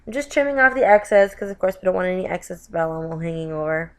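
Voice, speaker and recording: A woman's voice: lowish voice, animated, fast, clean tone. Chatty woman with a stuffy cold voice. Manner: chatty, with frequent self-corrections and "you guys". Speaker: craft video host. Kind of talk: voiceover narration of a card-making tutorial.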